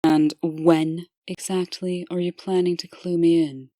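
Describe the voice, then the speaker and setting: A woman's voice: fairly low pitch, flat delivery, unhurried, mellow, no room sound, studio quality. A woman playing a cool, businesslike, exasperated deputy in a comic dub, terse and skeptical. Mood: irritated. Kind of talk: comic dub character acting.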